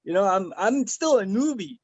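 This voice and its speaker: Guy from the philippines, pretty high voice, dry dull call audio, rambles earnestly with lots of uhs. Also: lively intonation, normal speed, slightly rough, no room sound. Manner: earnest, rambling with many fillers and restarts. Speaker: guest giving a testimonial. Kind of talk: casual video-call chat.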